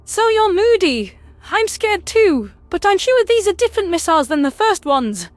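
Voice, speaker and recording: A man voicing a small, chirpy alien rodent, timid but defiant, in a squeaky, thin voice for a sci-fi audio drama. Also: big pitch swings, moderate pace, dry recording. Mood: scared, earnest.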